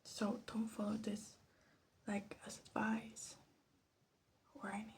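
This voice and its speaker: A soft-spoken woman giving casual, rambling ASMR commentary, hesitant and drifting into whispers. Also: medium pitch, monotone, takes it slowly. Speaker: ASMR creator making perfume. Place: small room at home.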